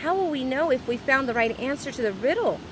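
A woman's voice: sits high, expressive, brisk, background noise, pitched above the performer's own voice. A woman voicing an earnest, questioning young boy in a children's storybook adventure. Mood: questioning, alarmed. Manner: urgent, questioning, calling out.

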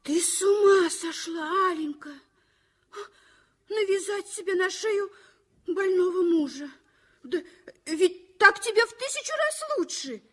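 A worried woman scolds a young nurse in a 1960s radio play. She speaks high and slowly, with anxious reproach. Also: animated. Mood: scolding.

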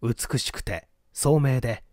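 A man reading a mystery story aloud as literary narration, in a measured, polite storytelling manner. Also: mid-range voice, darkish tone, dry recording, clean studio sound. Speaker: audiobook reader.

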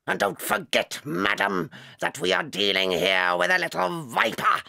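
An actress playing a bullying, child-hating British headmistress in a dramatized children's audiobook, domineering, blustering and contemptuous. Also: female voice, on the low side, measured pace, creaky, sharp tone, dry recording, clean studio sound, heavily acted. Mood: menacing. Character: tyrannical, bullying headmistress who despises children.

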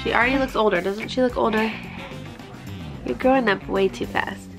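A woman's voice: a little high, moderate pace, music in the background. A young woman with a stuffy, congested voice chatting cheerfully to a handheld camera in her bedroom as a family vlogger. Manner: bright, cheerful, talks to camera. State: congested from a cold. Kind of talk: casual family vlog. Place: bedroom at home. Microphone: handheld camera up close.

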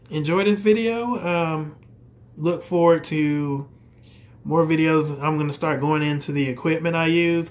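A man in his thirties, a fishing vlogger, talking to viewers in a relaxed, easygoing way, close to the camera in a small room. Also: mid-range voice. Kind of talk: casual vlog talk. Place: indoors, small room by a wall.

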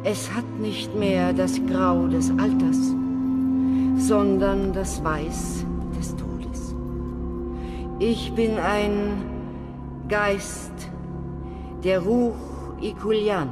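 A man's voice: sits high, loud music behind the voice. An actor playing an aged, sorrowful, motherly wise woman in a radio drama, speaking solemnly like an oracle. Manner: slow, solemn, oracular. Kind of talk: literary radio drama acting.

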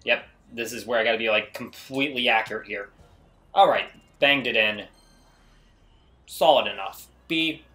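A man's voice: medium pitch, pushed and bright. A man giving casual, exclamatory gameplay commentary as a video host, often putting himself down. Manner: self-deprecating, exclamatory.

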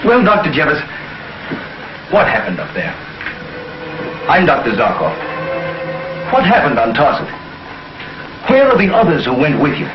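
An actor playing a determined space scientist, formal, emphatic and demanding, in 1950s science fiction television style. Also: male voice, a little high, wildly swooping pitch, normal speed, pauses often, music in the background. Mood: urgent, demanding. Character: insistent male space scientist, urgent and determined. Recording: roomy, dull, noisy 1950s TV soundtrack.

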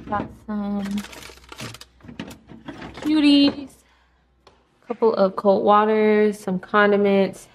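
A woman's voice: medium pitch, slow, pauses often, slight background noise. A young woman in her twenties chatting casually and playfully in her apartment kitchen as she films a vlog on a handheld phone. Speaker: vlogger.

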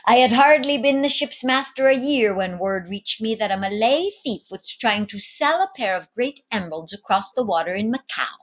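A woman's voice: a little high, expressive, normal speed, few pauses, a bit bright. A woman voicing a sly, commanding male sea captain in a theatrical storybook read-aloud, tagging lines with little questions. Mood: confiding.

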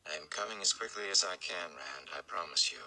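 Man as a calm, commanding sorceress, low-pitched. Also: a little fast.

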